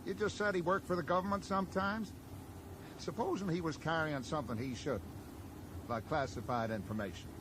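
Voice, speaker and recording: A man's voice: fairly high pitch, a little fast, natural voice. A man playing a blunt, probing small-town American sheriff in a radio drama, speaking in a folksy, matter-of-fact way. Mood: probing, suspicious. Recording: noisy 1980s radio drama broadcast.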